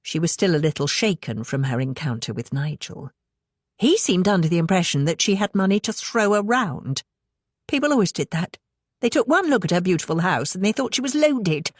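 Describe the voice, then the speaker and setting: British female audiobook narrator, low register, scripted novel reading, very dry close sound. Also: big pitch swings, moderate pace, no echo.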